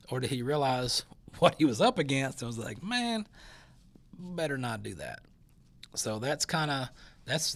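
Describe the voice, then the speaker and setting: A man's voice: medium pitch, vocal fry, a bit bright. A man hosting a talk show, chatting casually about rodeo news and interviewing a guest in a rambling, folksy way full of fillers and restarts. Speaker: show host.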